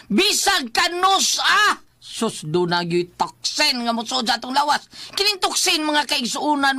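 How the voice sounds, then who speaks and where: A woman radio host with a Cebuano Bisaya accent and a very high voice. She speaks in a dry, close sound, pitching sponsors and sending greetings in an emphatic, conversational way. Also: bright, forceful tone, no room sound. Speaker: radio show host reading sponsor plugs. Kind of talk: live radio ad-libbed advertising and greetings.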